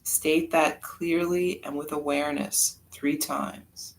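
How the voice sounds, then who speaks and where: A yoga nidra teacher leading a guided meditation in a calm, unhurried, soft-spoken way with long pauses. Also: medium pitch, rough recording.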